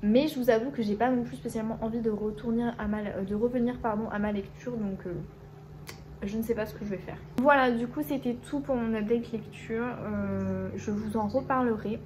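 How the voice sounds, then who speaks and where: Woman, book vlogger, head cold, hoarse and stuffy; raised voice but soft, mellow tone; rambles with hesitations, faint hiss behind. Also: a little high, steady tone, fairly quick, low-quality recording. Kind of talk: casual chatty vlog.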